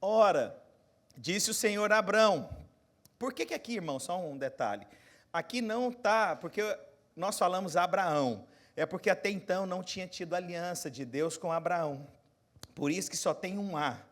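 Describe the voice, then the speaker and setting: A Brazilian pastor in his forties preaching a sermon, moving from quiet, close asides to loud, rising exhortation and putting questions straight to his congregation. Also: high voice, animated, normal speed. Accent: Brazilian Portuguese. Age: 40s. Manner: talks straight to the congregation, asking them questions.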